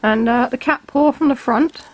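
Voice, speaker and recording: A woman, calmly explaining each step of a drawing tutorial while she sketches. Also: high voice, moderate pace, slight background noise, poor audio. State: has a cold, slightly hoarse. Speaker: art tutor.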